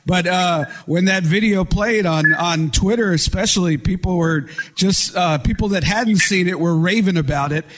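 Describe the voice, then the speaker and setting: A man's voice: high-pitched, moderate pace, few pauses, noisy background. A middle-aged man hosting a podcast interview, eager and chatty with frequent fillers. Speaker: podcast host. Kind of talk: casual interview chat.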